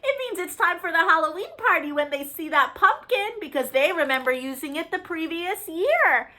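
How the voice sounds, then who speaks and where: Woman, children's storytime reader, high pitch, very lively intonation, sing-song, speaks directly to young listeners. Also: moderate pace. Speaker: children's librarian leading a musical storytime. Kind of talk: storybook read-aloud and talk to young children. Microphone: video call.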